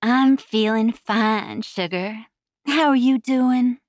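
A seductive Southern woman of the phone lines, acted out by a female audiobook narrator, purrs in a throaty voice. She drawls slowly and flirtatiously, close and dry. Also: a little high, darkish tone, dry recording. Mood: seductive, purring. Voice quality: throaty, purring.

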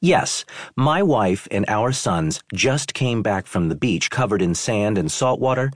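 A man reads a story aloud and lifts his voice into an indignant, blustering vacationer who bullies the front desk. The voice sits well above a low, husky natural register, tight and close with no room sound. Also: animated, measured pace, dry recording. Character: red-faced angry male tourist, demanding and bullying.

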